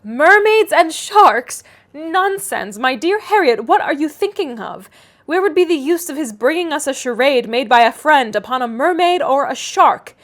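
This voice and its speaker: Woman playing a high-voiced, smug, clever young gentlewoman. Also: expressive. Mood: brisk, knowing. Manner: knowing, brisk, condescending, precise. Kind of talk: classic novel read aloud.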